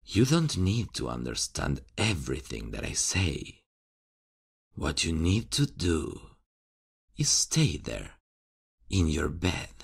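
A man voicing a calm, mocking vigilante killer who speaks slowly and with quiet menace in an ASMR horror roleplay. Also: low-pitched, gravelly, breathy edge. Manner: slow, teasing, quietly menacing.

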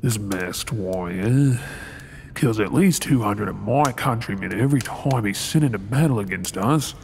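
A man's voice: on the low side, measured pace, creaky, a little mellow. A man with an Australian accent playing a resentful undercover soldier posing as a royal servant in an audio role-play, brooding in asides and formally deferential in address. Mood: bitter, brooding. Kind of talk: scripted ASMR audio role-play acting.

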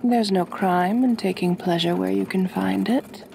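A woman playing a gentle, doting aristocratic vampire mistress in an ASMR roleplay, speaking softly and breathily with genteel, soothing command. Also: medium pitch, measured pace, gravelly, slight background noise. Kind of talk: ASMR roleplay, intimate acting.

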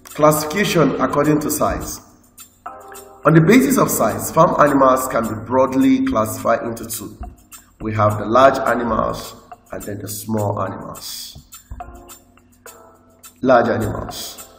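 Nigerian man reading lesson slides, gravelly voice. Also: mid-range voice, fairly bright tone. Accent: Nigerian English. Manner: slow, list-like reading with explanations. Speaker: teacher. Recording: echoey room with long reverb, faint music.

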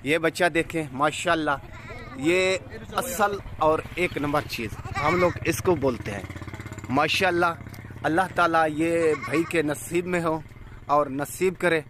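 A man's voice: on the high side, slow pace, a bit bright. Goat farm owner, 30s, salesman's patter, phone camera recording outdoors. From Pakistan. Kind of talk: casual vlog narration. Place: outdoors on a farm, village road.

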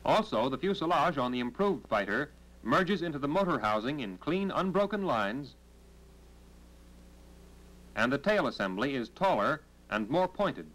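An American man delivering scripted 1940s military training film narration in a formal, measured, instructional manner on a vintage film soundtrack. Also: mid-range voice, sing-song, fairly bright tone, rough recording.

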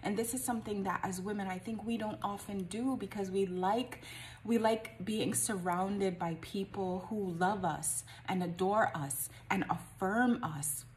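A woman's voice: medium pitch, a little slow, a little hiss or hum. A woman in her forties, a life coach, giving an earnest, encouraging talk straight to the camera from a small home office and asking rhetorical questions. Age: 40s.

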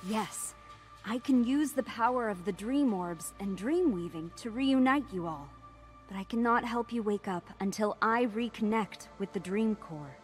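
A woman voicing a solemn, dignified mystical sheep guardian in a video game, formal and measured. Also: mid-range voice, expressive, close to an everyday voice. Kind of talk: animated video game cutscene acting. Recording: music under the voice.

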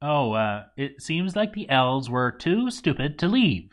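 Male narrator for a game story read-aloud, wry asides, highly varied intonation. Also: very animated, unhurried. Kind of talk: expressive scripted reading of a game story.

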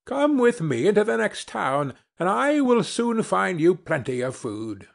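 A man's voice: sits high, sing-song, unhurried, vocal fry, pitched above the performer's own voice, exaggerated voice. A man voicing a small female sparrow in a storybook, bold, loyal and vengeful, with an emphatic delivery. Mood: helpful, brisk.

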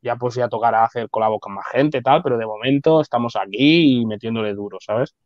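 A young man with a Barcelona-area Spanish accent talks in a relaxed, slangy way, peppering his sentences with fillers. He speaks at a middling pitch over a video call. The sound is muffled and dry, with little room in it. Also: a little fast, no echo. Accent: Spain Spanish, Barcelona area. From Spain. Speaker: guest rapper. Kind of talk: casual interview chat. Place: indoor bright room. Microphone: video call webcam.

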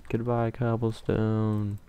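A man calmly talking to himself while playing a game, in a soothing, mumbling way. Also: fairly low pitch, slow pace, clear, resonant tone. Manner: calm, mumbling, self-talking. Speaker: gamer. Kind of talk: casual let's play commentary.

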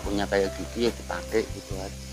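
A man's voice: deep voice, flat delivery, fast talker, a little mellow, soft background music, low-quality recording. An older man with a Ngapak Javanese accent, a veteran actor chatting as a guest in a rambling, musing way with frequent chuckles. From Banyumas region, Central Java. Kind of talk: casual reflective chat. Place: outdoor garden table at night.

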